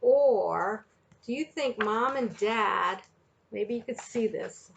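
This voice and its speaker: A woman reading a picture book and teaching young children, speaking deliberately and putting questions to her listeners. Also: a little high, slight background noise, poor audio. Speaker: children's story reader and teacher.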